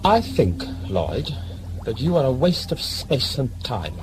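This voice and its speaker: An actor playing a pompous, blustering old governor in an audio drama, dismissive and full of bluster. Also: male voice, mid-range voice, very animated, a little slow, background noise. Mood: indignant. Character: blustering, pompous old prison-ship governor nostalgic for empire.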